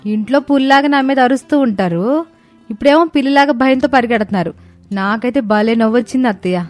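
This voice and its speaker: A woman voicing a lively, teasing young daughter-in-law in an animated moral story, with an expressive, coaxing delivery. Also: a little high, brisk, faint music behind, lightly acted. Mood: amused.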